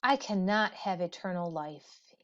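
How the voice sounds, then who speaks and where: A woman's voice: fairly low pitch, animated, measured pace. A woman voicing a weary, grieving male hero king from an ancient legend, declaiming earnestly in a storybook reading. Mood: resolved. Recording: dull, muffled lo-fi audio.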